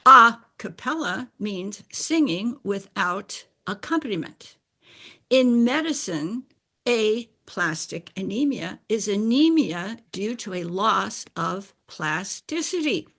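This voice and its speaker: An older woman whose first language is Bulgarian, telling a personal story in a deliberate, explanatory way and clearing her throat now and then. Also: mid-range voice, expressive, pushed and bright. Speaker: speaker sharing a personal story. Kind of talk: slow scripted personal narration.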